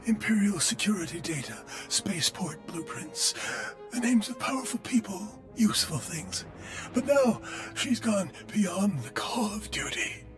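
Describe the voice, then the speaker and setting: A smug male anarchist leader from a video game, speaking in a fairly high voice that swoops theatrically. He is grandiose and mock-courteous with menace underneath, over prominent music. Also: very animated, measured pace. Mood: gloating.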